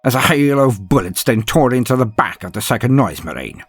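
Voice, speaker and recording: A man's voice: medium pitch, lively intonation, breathy edge, dry recording, faint music behind. A man playing a grim, proud, dryly sardonic inquisitor, narrating a battle in a theatrical, brooding way as a first-person audio drama. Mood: tense, measured. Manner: theatrical, brooding, with weighty pauses.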